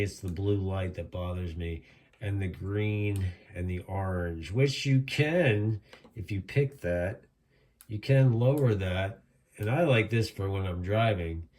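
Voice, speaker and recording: A man's voice: lowish voice, darkish tone. A man giving a casual, rambling product demonstration as an enthusiastic hobbyist reviewer.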